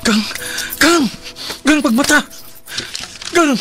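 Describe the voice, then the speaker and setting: A man's voice: very high voice, slow. A man with a Cebuano Filipino accent playing a devoted, anxious, tender husband and father in a sentimental radio drama. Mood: anguished, grieving. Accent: Filipino, Cebuano-speaking. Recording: music behind the voice.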